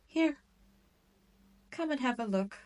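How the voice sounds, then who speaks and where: Slow, taunting demon queen, acted by a woman. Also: high-pitched, lively intonation, a little mellow. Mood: teasing, condescending. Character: cruel, gloating demon queen toying with captured hero. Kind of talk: scripted audio roleplay monologue.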